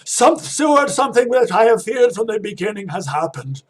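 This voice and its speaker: An actor playing a grave, defiant vampire-hunting doctor with a husky voice and emphatic theatrical radio delivery. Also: male voice, high voice, sing-song, moderate pace, exaggerated voice. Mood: alarmed, urgent. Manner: emphatic, dramatic. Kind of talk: theatrical radio play acting.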